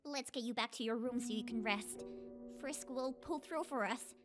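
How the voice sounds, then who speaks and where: A woman voicing an anxious, gentle female reptile monster scientist in a comic dub, pleading in a nervous, halting stammer. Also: a little high, music in the background, natural voice. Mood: gentle reassuring.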